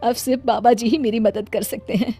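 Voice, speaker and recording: A woman playing an anguished, devoted village mother in an animated story, speaking with earnest, melodramatic pleading. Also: on the high side, fairly quick. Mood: distressed, pleading. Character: anguished, devoted village mother determined to save her son. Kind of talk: animated Hindi moral story drama. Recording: music under the voice.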